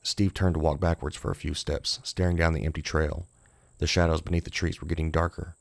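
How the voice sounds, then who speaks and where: A man's voice: a bass voice, level tone, brisk, gravelly, dry recording, studio quality. A man reading scripted horror fiction as an audiobook narrator.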